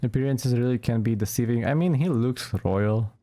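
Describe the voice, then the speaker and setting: A man giving casual, low-key, musing commentary as he plays through a game. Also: normal speed, warm tone. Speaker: let's play gamer.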